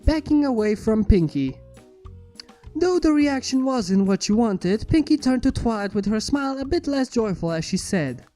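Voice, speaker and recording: Woman reading fanfic narration, mellow voice, faint music behind. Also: fairly high pitch, animated, normal speed. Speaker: fan fiction narrator. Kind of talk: scripted story narration. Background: background music.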